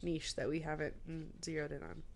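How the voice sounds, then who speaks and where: A woman's voice: deep voice, little pitch movement, brisk, gravelly. A woman co-hosting a family podcast, chatting casually and laughing often while congested from a cold. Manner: giggly, chatty. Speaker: podcast co-host. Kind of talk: casual chat.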